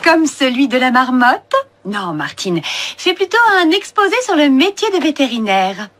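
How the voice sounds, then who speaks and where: A woman playing a gently teasing mother in a children's cartoon, measured yet expressive. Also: high-pitched, full character voice. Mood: gently firm. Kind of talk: French animated children's series dubbing.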